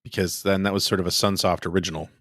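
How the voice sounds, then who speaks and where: A man talks casually as a podcast panelist. His voice is on the low side and his delivery is quick. The recording sounds dry. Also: level tone, fairly bright tone, no room sound. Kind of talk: casual chat.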